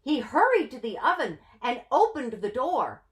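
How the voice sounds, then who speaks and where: A woman's voice: high voice, big pitch swings, moderate pace, fairly bright tone. A woman reading a picture book to children expressively, putting questions to her young listeners. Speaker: children's story reader.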